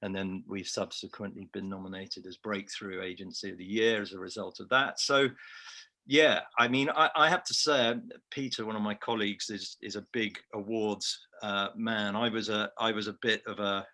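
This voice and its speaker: A man's voice: fairly low pitch, steady tone, moderate pace, pushed and bright. A man with a Liverpool accent, an award-winning researcher, giving a reflective, self-deprecating answer in a remote interview. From Liverpool, England.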